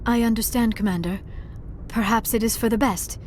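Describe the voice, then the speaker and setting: A woman playing a gentle, earnest young alien scientist in a video game, speaking formally and precisely. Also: on the high side, steady tone, normal speed, a little hiss or hum, lightly acted. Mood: regretful. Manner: formal, precise speech without contractions. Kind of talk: video game dialogue acting.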